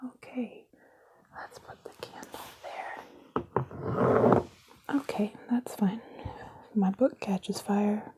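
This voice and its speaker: A woman whispering throughout an ASMR video indoors at a table, making sarcastic, self-mocking jokes in a soft unvoiced whisper, recorded close up on a camera mic. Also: medium pitch, background noise.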